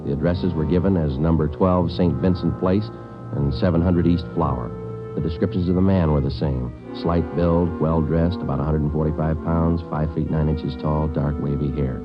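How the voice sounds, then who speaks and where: Radio crime drama lead: terse American cop, deep matter-of-fact delivery, muffled vintage recording. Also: male voice, normal speed, over music. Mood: flat narrating. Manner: clipped, matter-of-fact, deadpan. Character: dedicated, terse male police detective sergeant, narrating his cases. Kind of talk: 1940s-50s radio drama acting and narration.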